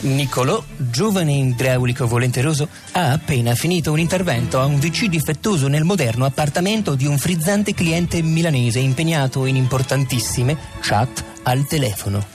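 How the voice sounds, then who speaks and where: A dramatic, mock-earnest male announcer played by an actor narrates a satirical radio drama. His mid-range delivery is deliberate and solemn, with clear music behind it. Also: mid-range voice, lightly acted.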